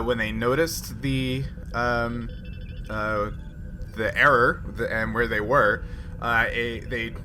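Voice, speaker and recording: A man running a tabletop roleplaying game, explaining the scenario casually with many halting pauses and filler sounds. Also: mid-range voice. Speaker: game master.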